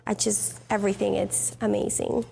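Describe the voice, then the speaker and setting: Very gravelly-voiced woman, earnest. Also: mid-range voice, little pitch movement, moderate pace, warm tone. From Mexico. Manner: earnest, hesitant. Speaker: retreat participant.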